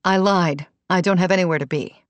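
A woman's voice: fairly low pitch, fairly quick, no room sound, close to an everyday voice. A woman voicing a blunt, defensive, sardonic mother in an audiobook. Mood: cool, clipped. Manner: blunt, confrontational. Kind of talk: audiobook character dialogue.

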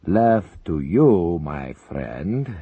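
A man voicing a ruthless older Soviet leader who talks slowly and menacingly, quick to rage, in an audiobook. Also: sits low, very animated, breathy edge, no echo, phone-quality sound, heavily acted. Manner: slow, menacing, prone to rage.

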